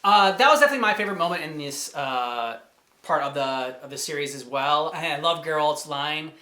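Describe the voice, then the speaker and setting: A man co-hosting a casual reaction show, chatting about game cutscenes in a halting, rambling way as he thinks aloud. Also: on the high side, animated, sharp tone. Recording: echoey room.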